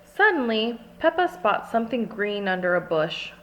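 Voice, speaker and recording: A woman's voice: mid-range voice, very animated, moderate pace, fairly bright tone. A woman reading a children's storybook aloud, expressively and carefully phrased for small children. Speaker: storybook reader. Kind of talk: scripted children's read-aloud.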